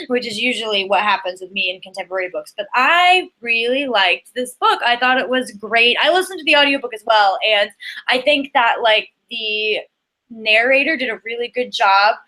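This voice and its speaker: A woman's voice: fairly high pitch, pushed and bright, poor audio. A woman co-hosting a live book show, chatting casually and informally, often dropping "like" into her sentences.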